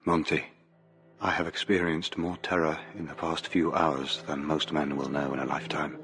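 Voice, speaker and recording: Very deep, tormented gentleman. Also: little pitch movement, moderate pace. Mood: haunted resolve. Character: tormented gentleman reading out his desperate farewell letter. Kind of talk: radio drama letter voice-over.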